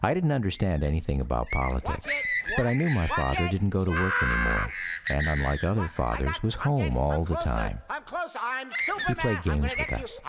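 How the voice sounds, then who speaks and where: A man giving scripted memoir narration for a radio documentary, reflective and storytelling. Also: low voice.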